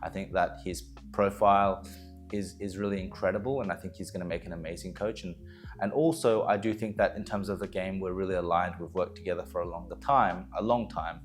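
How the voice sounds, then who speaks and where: A man speaks in a low voice over soft background music. He sounds like a coach addressing fans, explaining team news in an earnest, measured way. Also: steady tone. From Australia. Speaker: esports coach. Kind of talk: prepared team announcement to fans. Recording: music playing behind the voice.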